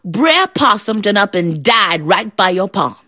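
A woman voicing a clever male rabbit trickster, high and exclaiming. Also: very animated, unhurried, pushed and bright, dry recording, clean studio sound, heavily acted. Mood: mock grief.

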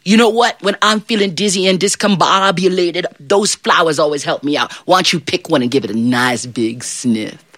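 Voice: mid-range voice, normal speed, few pauses, fairly bright tone, dry recording, studio quality, close to an everyday voice. A storyteller as sly, polite old man John. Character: crafty, mild-mannered old man named John.

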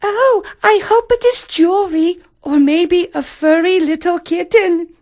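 A woman's voice: unhurried, darkish tone. A woman voicing a haughty, spoiled young princess, whiny, girlish and petulant, in a storybook telling. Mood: eager, cooing. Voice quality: very high-pitched, girlish. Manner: petulant, cooing, exclamatory.

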